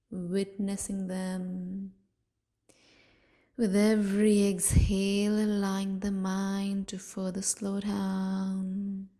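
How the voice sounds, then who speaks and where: Very slow, mellow woman guiding meditation. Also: medium pitch. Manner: hushed, soothing, gently breathy delivery with long pauses. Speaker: meditation guide. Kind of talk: guided sleep meditation.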